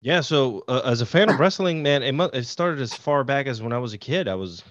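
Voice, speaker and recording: A man's voice: medium pitch, animated, fairly quick, a bit bright, no room sound. Middle-aged man from Puerto Rico, guest, loose rambling storyteller; dull, dry video-call sound. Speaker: podcast guest. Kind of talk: casual interview chat.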